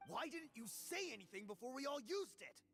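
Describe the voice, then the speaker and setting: Hot-tempered, animated male crook. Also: very high-pitched, a little fast, creaky, breathy edge, faint music behind, full character voice. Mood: indignant, accusing. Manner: accusing, indignant. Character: aggrieved male crook, hot-tempered, distrustful former partner. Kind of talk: video game voice acting.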